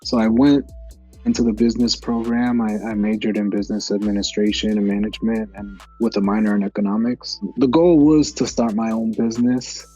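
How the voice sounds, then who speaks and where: A man's voice: fairly low pitch, steady tone, brisk, mellow, husky, soft background music. A man in his twenties, an actor from Massachusetts, answering interview questions casually with many fillers and restarts. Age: 20s. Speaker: actor guest.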